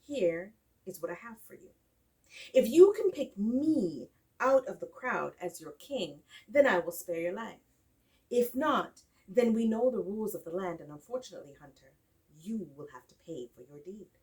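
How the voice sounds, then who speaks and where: A woman's voice: medium pitch, big pitch swings, a little mellow, breathy edge, far from the mic, rough recording. Solemn, authoritative king as a woman storyteller plays him. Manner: formal, measured, authoritative. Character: solemn male king laying down terms to a prisoner.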